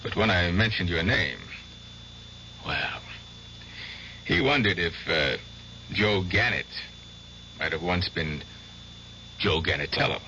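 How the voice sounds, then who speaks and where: An actor playing an earnest young doctor in a radio drama, speaking in a measured, tactful and slightly hesitant way. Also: male voice, low-pitched, lively intonation, sharp tone, heavily acted. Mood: tactful, careful. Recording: muffled old radio broadcast, faint noise.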